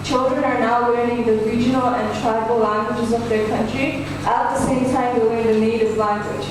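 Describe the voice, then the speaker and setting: A woman's voice: a little high, fairly even intonation, normal speed. Young woman speaking in a cavernous hall. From household speaking English and Hindi. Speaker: student speaker. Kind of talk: rehearsed presentation to an audience. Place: large echoey indoor event hall. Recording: very echoey hall, distant, noisy.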